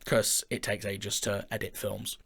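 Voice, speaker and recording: A man's voice: on the low side, little pitch movement, a little slow, gravelly, fairly bright tone, breathy edge. Enthusiastic man chatting as a co-host. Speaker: co-host, audio drama creator and film director. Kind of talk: casual chat, announcing projects. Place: indoor studio.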